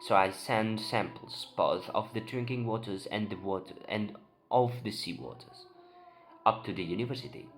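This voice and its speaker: A man playing an excitable, proud town doctor in an amateur dramatic play reading, with an emphatic, declamatory delivery. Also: lowish voice, moderate pace, lightly acted. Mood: earnest, explanatory. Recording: voice over prominent background music.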